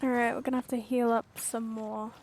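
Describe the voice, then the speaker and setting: A woman's voice: on the high side, little pitch movement, a little fast, a little mellow, slight background noise. A woman game streamer giving casual commentary as she plays. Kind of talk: casual gameplay commentary, reading along.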